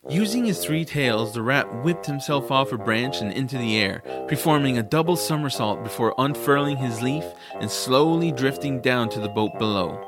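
A man's voice: mid-range voice, expressive, moderate pace, hardly any pauses. A man reading scripted first-person narration for a family fantasy audiobook. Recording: music behind the voice. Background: music.

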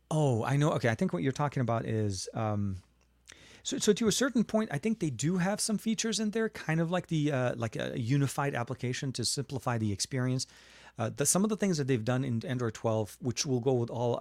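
A man from Lebanon hosts a relaxed live tech stream and works through chat questions one by one. He talks in a loose, chatty way, full of ums and restarts, at a middle pitch. The sound is dry and close, with no room echo. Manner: chatty, answers viewer chat with fillers and restarts. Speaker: tech livestream host. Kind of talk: casual live Q&A.

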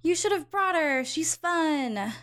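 A woman's voice: high voice, lively intonation, takes it slowly, a bit bright, full character voice. A young woman playing a catty, condescending rich socialite in an audio drama, with a snide, gossipy, sarcastic drawl. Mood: snide, casual. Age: young adult. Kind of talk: audio drama acting, conversational.

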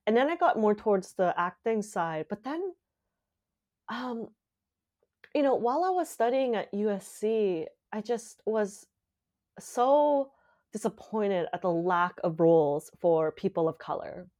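A woman's voice: medium pitch, animated, a little slow, darkish tone. A young woman from Canada, a playwright, talking casually in an interview with many filler words. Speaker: playwright guest. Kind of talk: conversational interview.

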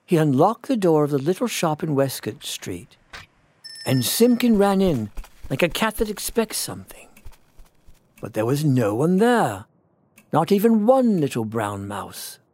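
A man putting on a British accent as an old-fashioned storybook narrator, gentle and full of wonder, savouring his words theatrically. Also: a little high, big pitch swings. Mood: hushed wonder. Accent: British, put on. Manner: theatrical, savouring, drawn-out emphasis. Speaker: storyteller reading a children's tale. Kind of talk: expressive classic storybook narration.

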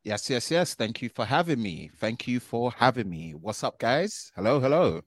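A man from the United Kingdom, a tech video creator guesting on a podcast, talking in an animated, slangy way and often ending phrases with "man.". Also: medium pitch, normal speed, no room sound, studio quality. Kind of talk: casual podcast conversation.

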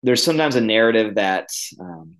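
A man with a fairly low voice, a guest on a podcast who grew up in the Midwest. He talks loosely, with plenty of "um" and "like," speeding up and then slowing down as he thinks. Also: animated, low-quality recording. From Midwest, Illinois. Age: millennial. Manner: conversational, many fillers, uneven pace. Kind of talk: podcast interview.

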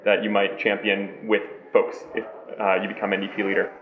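A man's voice: fairly low pitch, monotone, fairly quick. A young adult man hosting a casual interview, chatty and easygoing. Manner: casual, chatty, laughs easily. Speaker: host. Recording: tinny phone-like sound in an echoey room.

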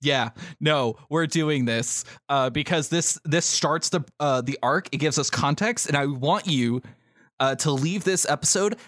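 A man's voice: on the high side, unhurried, fairly bright tone, no echo, studio quality. A man co-hosting a podcast in casual chat, enthusiastic and free with swearing. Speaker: podcast co-host.

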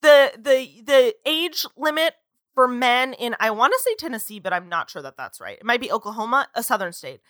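Bright-voiced young woman leading podcast chat. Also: fairly high pitch, moderate pace, no room sound, clean studio sound. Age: 20s. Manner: lively storyteller, leads the discussion. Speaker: podcast co-host.

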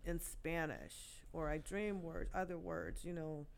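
A woman in her sixties, a guest poet, telling her life story in a podcast interview with many pauses and restarts. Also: deep voice, steady tone, slight background noise. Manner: halting, many fillers and restarts.

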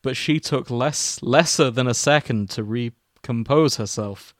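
A man's voice: mid-range voice, unhurried, no echo. A man narrating fan fiction for comedy with casual asides, in an amused manner. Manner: amused, often breaking into laughter.

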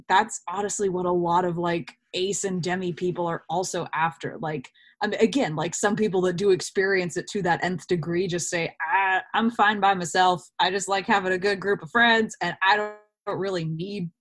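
A woman's voice: fairly low pitch, moderate pace. Woman chatting casually, acting out little quotes. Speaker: interview participant, actor. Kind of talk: casual conversational interview.

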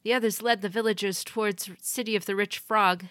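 A woman voicing an exhausted young woman warrior, hoarse and out of breath. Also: mid-range voice, steady tone, brisk, a bit bright, no echo, studio quality, pitched above the performer's own voice, lightly acted. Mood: breathless, distraught.

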